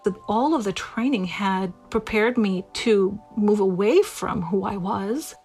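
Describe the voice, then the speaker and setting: A woman from Puerto Rico recounting a personal memory in a halting, reflective way, with many restarts. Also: medium pitch, darkish tone, breathy edge, soft background music. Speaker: guest. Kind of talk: personal storytelling.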